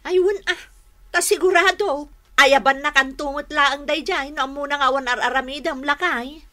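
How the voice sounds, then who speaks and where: A woman's voice: sits high, moderate pace, bright, forceful tone. A woman acting a gossipy village neighbour in a radio drama, teasing and exclaiming, with an Ilocano accent. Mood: urging.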